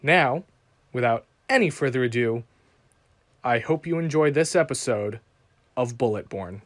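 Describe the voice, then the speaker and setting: Slow, dry-recorded man hosting a podcast. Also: medium pitch, expressive, no echo. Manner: promotional, emphatic. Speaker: podcast host. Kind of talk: scripted sponsor read and show introduction.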